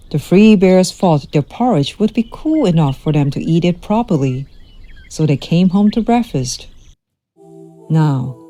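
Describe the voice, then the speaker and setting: A woman storyteller reading a children's storybook aloud in an expressive, measured way, from a script. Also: fairly low pitch.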